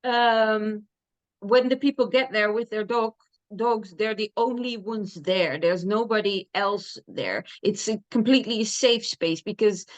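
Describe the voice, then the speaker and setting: A woman's voice: mid-range voice, unhurried. A woman from the Netherlands with a Dutch accent, chatting eagerly as an interview guest, laughing often and using many fillers. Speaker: dog trainer guest. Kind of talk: casual interview chat.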